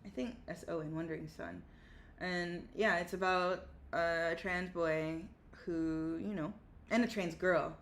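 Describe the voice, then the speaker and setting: A woman's voice: on the low side, fairly even intonation, measured pace. A woman co-hosting a livestream in casual conversation, laid-back and freely giving her opinions. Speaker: livestream co-host. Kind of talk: casual chat. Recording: faint background noise.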